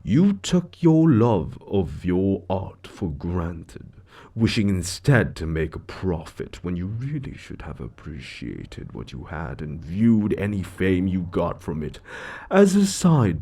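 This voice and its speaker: A suave devil lord speaks slowly and savors his words in an audio roleplay. His gravelly voice swings widely in pitch, condescending and menacing, as if gloating over a trapped mortal. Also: heavily acted. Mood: mocking sympathy. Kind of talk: scripted audio roleplay monologue.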